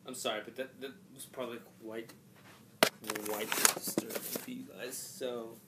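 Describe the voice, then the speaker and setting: A man's voice: mid-range voice, flat delivery, normal speed, creaky. A young man vlogging casually by himself, goofy and self-mocking as he rambles to his viewers. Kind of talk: casual solo vlog. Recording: echoey room with faint background noise.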